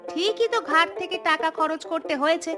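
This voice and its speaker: A high-pitched, nagging village housewife from a cartoon, played by a woman. She is thrifty yet soft-hearted and exclaims a lot, over clearly audible music. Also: fairly quick. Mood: scolding. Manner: scolding, exclamatory. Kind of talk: cartoon voice acting.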